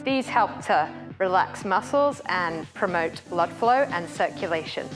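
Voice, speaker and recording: Woman giving a scripted ad testimonial over music. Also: medium pitch. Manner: reads a script earnestly. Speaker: show jumper in a sponsor ad.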